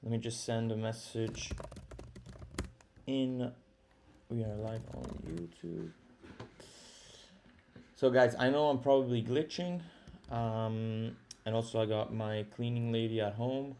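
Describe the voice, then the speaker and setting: A man's voice: mid-range voice, slow pace, vocal fry, pauses often, mellow, a little hiss or hum. A young man with an Italian accent hosting a live trading stream from home, talking casually and thinking aloud with fillers. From Italy. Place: his home.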